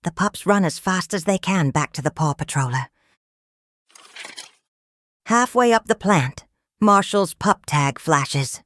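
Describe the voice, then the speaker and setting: A woman reading a children's story aloud in an expressive storytelling manner. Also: lowish voice, slow, pauses often, dry recording, clean studio sound. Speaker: storybook reader. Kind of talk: scripted read-aloud narration of a children's story.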